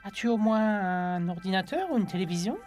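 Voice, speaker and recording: A woman's voice: medium pitch, lively intonation, brisk, darkish tone, close to an everyday voice. A woman playing a curious, forthright young girl from an underwater kingdom in a dubbed cartoon. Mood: curious, proud. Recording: music bed under the dialogue.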